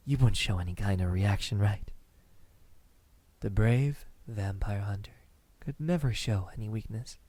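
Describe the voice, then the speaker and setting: A man playing a courteous, lovestruck vampire in an intimate ASMR roleplay, speaking softly in formal words with hesitant pauses. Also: on the low side, dry recording. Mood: teasing, amorous. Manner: hesitant, soft-spoken, formal wording with lingering pauses.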